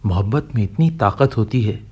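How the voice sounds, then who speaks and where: An actor playing a kindly, middle-aged father figure in a Hindi radio play, speaking in a gentle, coaxing, philosophical way. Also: lowish voice, animated, normal speed, husky, dull audio. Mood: earnest, reassuring.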